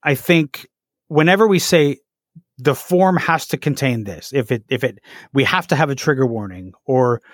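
A man's voice: medium pitch, moderate pace, no room sound, studio quality. A man hosting a podcast, speaking hesitantly with many fillers and restarts. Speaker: podcast host. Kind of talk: podcast interview.

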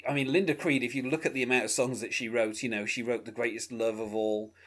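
Chatty podcast host from the UK. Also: male voice, mid-range voice, fairly even intonation, fast, fairly bright tone. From United Kingdom. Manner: conversational, full of fillers like "um" and "you know". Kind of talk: music podcast discussion.

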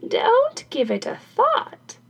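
A woman voicing an eager, stubborn, envious old crone in a folktale, with drawn-out, insistent exclamations. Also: very high voice, huge pitch swings, gravelly, voice pushed up, exaggerated voice. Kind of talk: folktale character voice in storytelling.

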